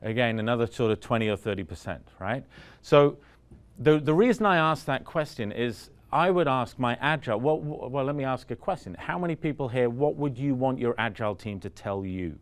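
A man's voice: medium pitch, lively intonation, brisk, smooth. A man in his forties giving a talk at a conference, speaking casually and often checking in with the audience with "right?". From Vancouver, Canada. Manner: conversational, asks the room questions, frequent "right?". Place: conference room in front of an audience.

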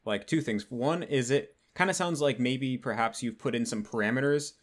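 A young man interviewing a guest over a video call from home, curious and relaxed with casual filler words. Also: medium pitch, moderate pace. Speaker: interviewer, channel host. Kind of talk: casual conversation. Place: room at home. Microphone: video call webcam.

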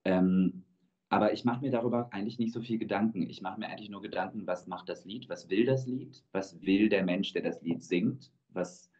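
A man in his thirties, born in Georgia with Russian as his first language, speaking as a guest singer in a thoughtful, measured way over a video call. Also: on the low side, a little mellow. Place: indoor room with plain wall. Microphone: video call webcam. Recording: dull lo-fi video call audio.

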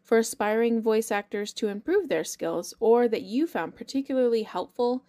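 A woman's voice: fairly high pitch, lively intonation, normal speed, warm tone. A woman asking scripted questions as an interviewer.

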